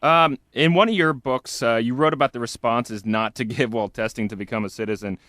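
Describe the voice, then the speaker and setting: A man's voice: mid-range voice, a little fast, fairly bright tone, dry recording, studio quality. Hesitant male radio co-host. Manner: hesitant, filler-heavy questions. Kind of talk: casual interview.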